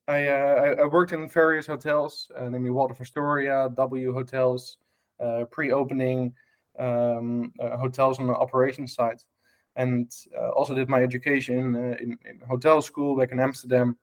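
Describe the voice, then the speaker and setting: Dutch man, mid-pitch, chatty guest who hesitates a lot and keeps checking "right?". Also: level tone, moderate pace. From Netherlands. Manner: many fillers and restarts, tag questions. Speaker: guest, hospitality tech lead. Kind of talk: casual podcast interview.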